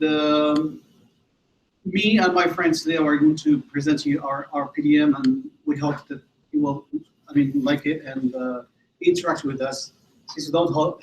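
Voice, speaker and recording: A Moroccan English teacher opens a presentation, friendly and a little hesitant, with plenty of fillers. His voice is slightly high for a man. The room echoes, and faint ambient noise sits underneath. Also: moderate pace, dull audio. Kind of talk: presentation opening to camera. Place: room without audience.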